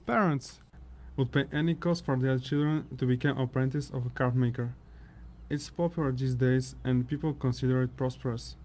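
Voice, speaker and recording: A man's voice: mid-range voice, fairly even intonation, normal speed, darkish tone, a little hiss or hum, close to an everyday voice. A man playing a brooding, sardonic chimera wanderer in a fantasy audio drama, grumbling his way through monologues. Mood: grumbling, fed up.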